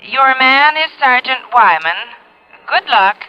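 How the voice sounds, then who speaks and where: High-voiced, unhurried clerk, old radio. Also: female voice, expressive, clean tone. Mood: polite, matter-of-fact. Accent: American. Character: polite, unhurried female telegraph office clerk. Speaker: supporting actress. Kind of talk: old-time radio drama. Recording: dull, muffled old radio recording.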